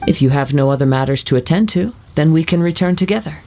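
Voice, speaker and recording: A young man voicing a calm, poetic wandering samurai, speaking in a measured way, in video game voice acting. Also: a little high, slight background noise, lightly acted. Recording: soft game music underneath.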